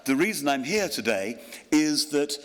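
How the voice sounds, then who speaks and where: A man in his sixties giving a graduation address at a ceremony, self-deprecating, with pauses and ums. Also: a little high, big pitch swings, fairly bright tone. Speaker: honorary graduate giving a speech. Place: large hall at a graduation ceremony. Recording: long hall reverb.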